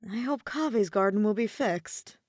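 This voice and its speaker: A woman's voice: medium pitch, normal speed, a little mellow, no echo, studio quality, lightly acted. A woman voicing a weary, awkward young male traveler in a fantasy story, hesitant and sighing. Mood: relieved.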